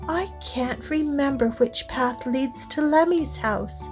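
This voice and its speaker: A woman's voice: high-pitched, slow pace, music in the background, pitched above the performer's own voice. A woman voicing a friendly, earnest young female unicorn in a storybook, talking carefully as if working through steps. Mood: worried.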